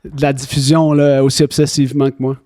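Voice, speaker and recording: A man's voice: mid-range voice, level tone, fairly quick, a little mellow. A man with a Québécois accent, chatting casually as the guest on a podcast. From Quebec, Canada. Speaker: podcast guest, clinic founder. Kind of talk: casual chat.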